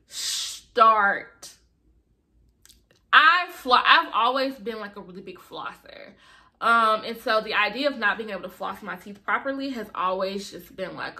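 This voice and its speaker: Bright-voiced young woman with a slight lisp. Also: mid-range voice. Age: young adult. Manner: chatty, expressive, lots of fillers. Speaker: vlogger. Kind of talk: casual chat to camera. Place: bedroom, indoors. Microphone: camera in front of her.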